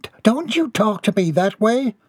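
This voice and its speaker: A man's voice: sits high, animated, normal speed, darkish tone, husky, dry recording, pitched above the performer's own voice. A man voicing a dotty, stubborn old mother in a storybook, with a batty, indignant manner. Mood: indignant muttering. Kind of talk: storybook character voice.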